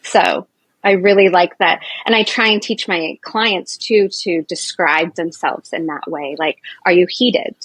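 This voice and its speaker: A woman in her forties, a nurse and Ayurveda practitioner, chatting as an interview guest in a loud, animated way, with lots of fillers and restarts. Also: lowish voice, unhurried, husky, no echo, poor audio. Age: 40s. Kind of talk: casual interview chat.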